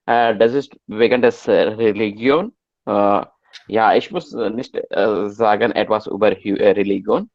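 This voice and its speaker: A man from Karnataka in India speaking unprepared learner German, very halting and repeating words. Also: lowish voice, fairly even intonation, a little mellow, dry recording, muffled recording. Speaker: club member.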